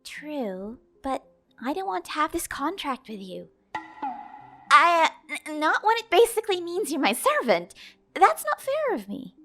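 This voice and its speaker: A woman playing a kind, awkward young human in an audio drama, hesitant and casual but expressive. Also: high voice, a little slow, soft background music, heavily acted. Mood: earnest, kind. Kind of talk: audio drama character acting.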